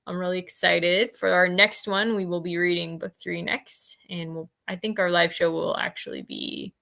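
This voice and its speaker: A woman's voice: on the low side, steady tone, normal speed, vocal fry, sharp tone. A woman hosting a casual book discussion, talking tentatively as she thinks aloud. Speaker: host of a book discussion livestream.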